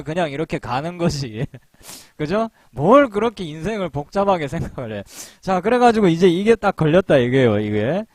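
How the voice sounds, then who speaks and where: A man's voice: fairly high pitch, darkish tone, no echo. Chatty man giving a stock lecture. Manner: chatty, repeats himself, laughs at own jokes; rhetorical questions, frequent fillers. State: sore throat from long phone calls. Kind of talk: casual recorded lecture to members.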